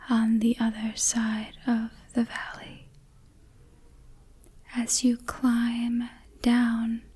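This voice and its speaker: A woman guiding an ASMR meditation in a soothing, hushed, whispery soft voice, leaving long pauses between short phrases. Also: a little high, breathy edge. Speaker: ASMR host, meditation guide.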